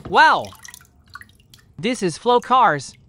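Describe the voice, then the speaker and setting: Exclamatory woman with wildly swinging pitch. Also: very animated, slight background noise. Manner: exclamatory, short drawn-out announcements. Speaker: toy video presenter. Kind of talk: exclamatory toy showcase for children. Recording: loud music over the voice.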